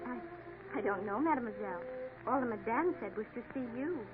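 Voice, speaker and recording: An actress in a 1950s radio drama playing a naive eighteen-year-old girl who speaks softly and hesitantly. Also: a little high, lively intonation, fairly quick, close to an everyday voice. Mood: timid. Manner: hesitant, soft, questioning. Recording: tinny old radio broadcast with audible hiss.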